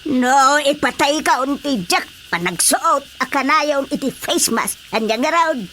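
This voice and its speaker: A woman's voice: high-pitched, lively intonation, measured pace, a bit bright, slight background noise. A woman playing a stubborn village mother in a comedic radio drama, complaining and exclaiming in an Ilocano Filipino accent. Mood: grumbling.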